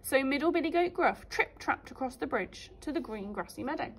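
Lady teacher reading kids storybook, highish voice, really expressive and up n down. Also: fairly quick. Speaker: primary school teacher. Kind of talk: children's story read aloud.

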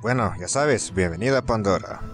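Male actor as tough cynical game dealer, Latin American Spanish accent, lowish pitch, dismissive, music behind. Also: animated, brisk, lightly acted. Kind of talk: video game fan-dub acting.

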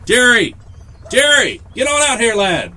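An actor in an audio drama playing a gruff, protective brother and airship man, folksy and insistent. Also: very high voice, big pitch swings, unhurried, pushed and bright, a little hiss or hum, full character voice, poor audio. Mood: calling out. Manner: folksy, plain-spoken, insistent.

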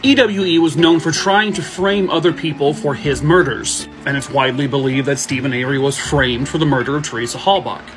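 Man in his thirties, higher-pitched voice, wry asides, true crime storytelling, phone mic, faint music behind. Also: brisk, hardly any pauses. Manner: sarcastic jokey asides. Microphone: phone at arm's length.

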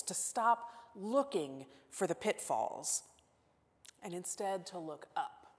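A woman in her forties with a Southern US accent, preaching a sermon at a pulpit and telling a story, sometimes dropping to a near-whisper for emphasis. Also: fairly low pitch. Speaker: guest preacher. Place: church sanctuary at a pulpit. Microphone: pulpit microphone. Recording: long church reverb.

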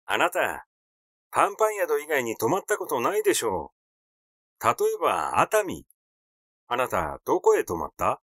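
A man's voice: medium pitch, very animated, moderate pace, pauses often, a bit bright, no room sound, studio quality. A man voicing a cheeky, meddling young man in a story reading, needling and argumentative. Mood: mocking.

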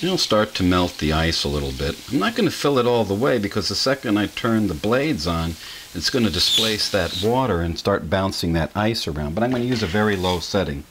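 A man's voice: low voice, big pitch swings, fairly quick, noisy background. A middle-aged man hosting a casual kitchen demonstration, explaining each step in a folksy way with fillers, filmed in a home kitchen on a camera microphone. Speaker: channel host.

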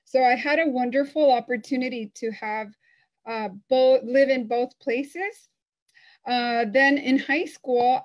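A woman in her thirties from El Salvador tells her own story over a video call. Her voice sits fairly high, and she talks openly and casually with plenty of pauses. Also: poor audio. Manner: open and conversational, frequent uh and um. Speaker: foundation board member.

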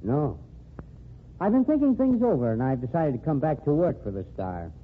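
An actor playing a bitter, threatening fired reporter in an old radio drama. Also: male voice, fairly high pitch, big pitch swings, normal speed. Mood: sneering. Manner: sneering, threatening. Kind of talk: 1940s radio acting. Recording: tinny lo-fi old radio transcription with faint hiss.

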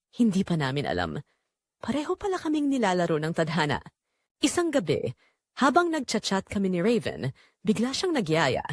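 A woman's voice: mid-range voice, dry recording, studio quality. A woman narrating a first-person romantic drama in an intimate, dramatic way. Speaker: audio story narrator.

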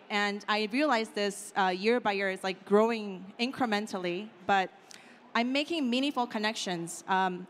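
A woman's voice: mid-range voice, a bit bright. Woman, thirties, casual stage speaker, filler-heavy chatty delivery, handheld mic, reverberant hall. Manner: chatty, lots of "like" and "right?" fillers. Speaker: conference speaker. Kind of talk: casual conference talk. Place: conference stage in a large hall. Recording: hall reverb with faint room noise.

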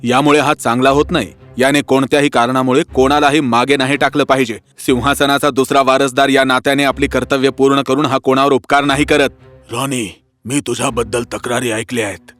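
A man voicing an authoritative, stern royal father in an animated fairy tale, formal and commanding. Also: medium pitch, fairly quick, faint music behind, close to an everyday voice. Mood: grave. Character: authoritative king, stern disapproving father of two princes.

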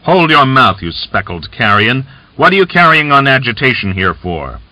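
A man's voice: mid-range voice, big pitch swings, moderate pace, bright, forceful tone, no room sound, pitched above the performer's own voice, rough recording. A man voicing an arrogant male rebel commander who roars blustering threats. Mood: bullying.

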